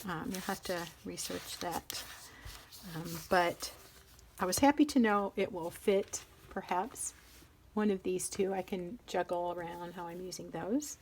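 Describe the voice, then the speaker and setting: A woman's voice: fairly low pitch, a little mellow, slight background noise. Middle-aged lady rambling about her planners, keeps interrupting herself, easygoing chat. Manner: chatty and rambling, interrupts herself while handling items. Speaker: planner vlogger. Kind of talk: casual show-and-tell chat.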